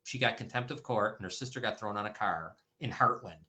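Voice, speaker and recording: A man's voice: on the low side, brisk, pushed and bright. A man hosting a casual live-streamed talk show, talking loosely with many restarts. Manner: loose, rambling, frequent restarts. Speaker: host. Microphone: streaming call setup.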